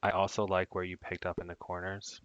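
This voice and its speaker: A man's voice: low-pitched, monotone, fairly quick, clean tone, no room sound. A man chatting casually with another player over a voice call, easygoing and telling stories about past games. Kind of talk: casual gaming chat. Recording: dull, dry voice-call audio.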